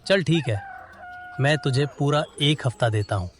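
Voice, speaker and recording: A man voicing a greedy, bullying village landlord in an animated moral story, brusque and threatening as he makes his demands. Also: mid-range voice, moderate pace, a little hiss or hum, lightly acted.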